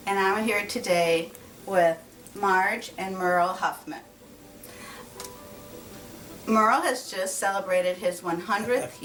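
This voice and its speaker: A woman's voice: medium pitch, fairly bright tone, distant mic. A woman interviewing an older man, asking friendly, plain questions about his life. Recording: echoey, from off-mic, faint music.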